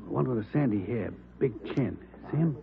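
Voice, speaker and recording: An actor playing a friendly, helpful barkeeper in a 1940s radio drama, breezy and colloquial in short answers. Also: male voice, mid-range voice, expressive, moderate pace, vocal fry. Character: friendly, helpful male barkeeper tipping off police. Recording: old narrowband radio, bar crowd behind.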